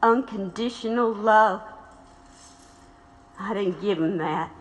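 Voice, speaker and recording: An actress playing a grieving, defiant wife of a condemned radical, narrating with bitter reflection. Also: female voice, mid-range voice, slow, lightly acted. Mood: reflective. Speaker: narrator and widow of a condemned man. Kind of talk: audio drama narration and acting.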